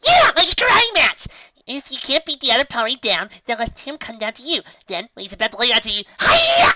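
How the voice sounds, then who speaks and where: Squeaky, hyperactive cartoon pony girl. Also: wildly swooping pitch, brisk, bright, forceful tone, no room sound, muffled recording, heavily acted. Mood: excited. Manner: exuberant, eager, exclaiming. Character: bubbly, hyperactive, excitable young female cartoon pony.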